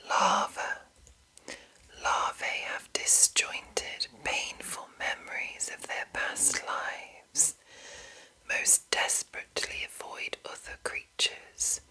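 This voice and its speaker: A woman whispering softly and deliberately in an ASMR style, reading aloud close to the microphone with a breathy, unvoiced voice. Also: poor audio. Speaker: ASMR host reading a monster manual.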